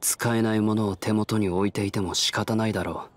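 A man voicing a cool, brusque young nobleman in an anime game, deadpan and curt. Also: fairly low pitch, brisk, slight background noise, lightly acted. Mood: cold, curt. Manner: deadpan, terse. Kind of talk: Japanese anime game voice acting.

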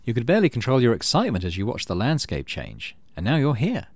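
A middle-aged man hosting a let's play, reading game text aloud and thinking out loud as he plays. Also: mid-range voice, wildly swooping pitch, quick pace, no echo. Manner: thinks aloud while playing.

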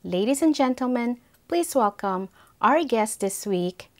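A middle-aged woman hosting an interview, delivering a scripted welcome and questions in a measured, deliberate way. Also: a little high, lively intonation, a little mellow. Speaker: host. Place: indoor studio against a brick wall.

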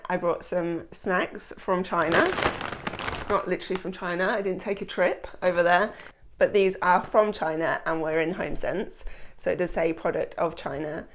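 A chatty woman in her thirties, talking to camera at home about things she bought. Her voice sits a little low and sounds slightly nasal from a starting cold. She rambles easily, full of "like" and "um". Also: background noise. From England. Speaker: lifestyle vlogger. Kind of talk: casual haul chat to camera. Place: small indoor room at home.

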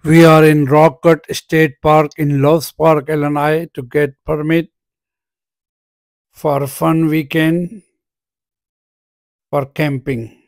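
A man's voice: fairly high pitch, little pitch movement, slow, vocal fry, pauses often, no room sound. A man casually narrating a family camping trip vlog, filmed on a phone from a car and at a campsite. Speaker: vlogger.

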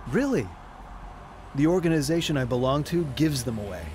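A man's voice: on the high side, lively intonation, normal speed, a little mellow, a little hiss or hum, lightly acted. Reassuring evangelist man, acted, faint street ambience. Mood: kindly, assured. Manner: reassuring, earnest. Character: kindly, assured male street evangelist handing out Bibles. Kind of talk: audio drama acting.

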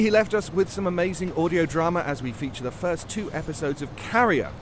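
A man introduces an audio drama showcase as its host. His voice sits at a middle pitch, with clear car and outdoor noise behind it. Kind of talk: scripted podcast introduction.

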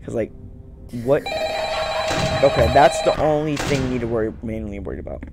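Young man gaming, gravelly creaky voice. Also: medium pitch, expressive, fairly quick, heavy background noise. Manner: repeats phrases, thinking aloud. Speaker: gaming YouTuber. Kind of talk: casual let's-play commentary. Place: small room indoors at home. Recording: loud game music behind voice.

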